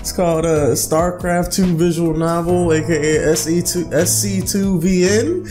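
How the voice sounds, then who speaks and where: A man's voice: a little high, fairly even intonation, a little slow. A man hosting a casual let's play, reading visual novel text aloud and talking in an informal, slangy way. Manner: informal, slangy asides between read-out passages. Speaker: gaming video host. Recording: music playing behind the voice. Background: music.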